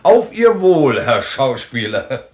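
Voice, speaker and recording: An actor playing a condescending, disbelieving dinner guest with an ironic, theatrical manner in a German thriller radio play. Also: male voice, medium pitch, big pitch swings, slow. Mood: mocking.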